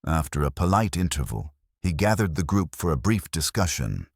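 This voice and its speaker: A man narrating a scripted mystery story in a measured, formal storytelling manner. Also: sits low, big pitch swings, no room sound, studio quality. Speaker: audiobook narrator.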